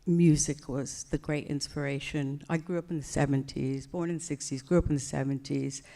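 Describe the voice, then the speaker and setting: A woman's voice: deep voice, steady tone, mellow. A woman, speaking reflectively and unhurriedly as the guest in a live onstage conversation in an event hall. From United States. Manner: reflective, unhurried, with many fillers. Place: event hall before an audience.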